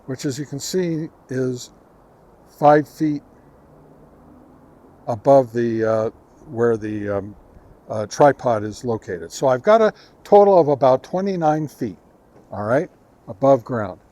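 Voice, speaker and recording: Male vlog host, mid pitch, casual hobbyist reviewer, halting conversational delivery while testing gear. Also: lively intonation, dark tone. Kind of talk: casual on-location product test talk to camera. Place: outdoors, on a back deck and in a park.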